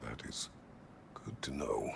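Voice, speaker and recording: Grim, extremely deep gravelly warrior. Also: male voice, slow pace, whispered, full character voice. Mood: grave, guarded. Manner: terse, solemn, formal diction. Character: grim, weary, battle-hardened Greek warrior, laconic. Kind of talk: cinematic video game acting.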